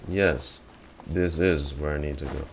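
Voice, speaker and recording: Low-voiced man streaming a game. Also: fairly even intonation, a little slow, vocal fry, a little hiss or hum. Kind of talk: casual livestream gameplay commentary.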